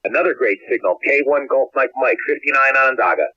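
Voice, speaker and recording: A man's voice: mid-range voice, quick pace, bright, forceful tone, husky, highs cut off. An American man working a radio contest over shortwave, giving call signs and reports in phonetics. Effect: ham radio transmission. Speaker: amateur radio contest operator. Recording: dry band-limited shortwave radio signal.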